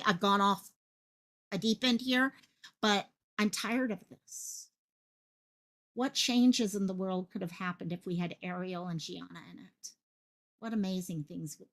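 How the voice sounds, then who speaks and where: A woman hosting her own online channel, speaking with passion, repeating phrases for emphasis and projecting her voice the way a stage actor does. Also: medium pitch. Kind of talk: impassioned livestream commentary.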